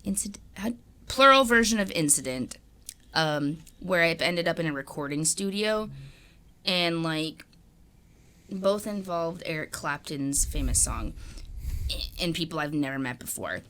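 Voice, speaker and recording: A woman's voice: low voice, lively intonation, unhurried, sharp tone, a little hiss or hum. A young woman co-hosting a podcast, rambling in casual chat with lots of fillers and swearing. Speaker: podcast co-host.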